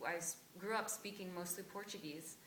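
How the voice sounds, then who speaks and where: A woman's voice: on the low side, steady tone, fairly quick, a bit bright, slight background noise. A woman from Brazil, a cast member, giving an earnest personal reflection at a theatre talkback. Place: theatre auditorium. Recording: echoey theatre, distant mic.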